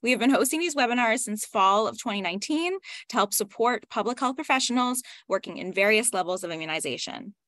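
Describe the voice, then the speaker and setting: A woman, a webinar moderator reading a prepared introduction over a video call in a measured way. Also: on the high side, animated, a bit bright, dry recording.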